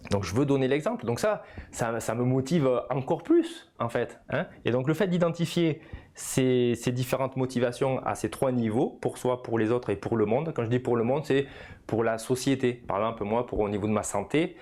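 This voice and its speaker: Casting: male coach, 50s, mid-pitched voice, informal didactic delivery with frequent verbal tags. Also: normal speed, a little mellow. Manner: didactic, punctuates phrases with "hein" and "voilà". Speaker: personal development coach. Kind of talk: informal video lesson, explanatory.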